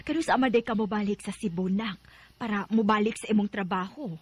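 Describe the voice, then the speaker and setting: A woman's voice: medium pitch, quick pace, a little hiss or hum, lightly acted. An actress playing a caring, sentimental mother in a radio drama, speaking tenderly and coaxingly with a Filipino accent. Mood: coaxing, concerned. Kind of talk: Philippine radio drama acting.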